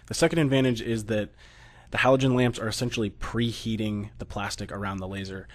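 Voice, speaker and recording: A man's voice: fairly low pitch, fairly even intonation, a little fast. An American man narrating a technical slideshow, explaining steadily with occasional fillers. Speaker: product presenter.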